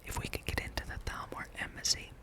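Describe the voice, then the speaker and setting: A person whispering the lines of a wary, serious rebel plotter from a fantasy game, breathy and conspiratorial. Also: fast, close to an everyday voice. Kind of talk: whispered read-aloud of fantasy game dialogue.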